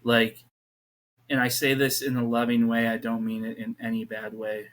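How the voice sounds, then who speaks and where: Earnest man in his thirties, flat delivery. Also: medium pitch, normal speed. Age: 30s. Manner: earnest, rambling with many restarts and fillers. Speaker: podcast guest, youth pastor. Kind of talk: casual faith conversation.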